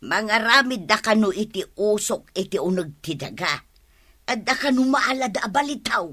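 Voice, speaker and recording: A woman's voice: medium pitch, animated, moderate pace, sharp tone, no room sound, studio quality. An actress playing a gossipy village woman in an Ilocano radio drama. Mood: gossiping. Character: gossipy village woman sharing news with friend.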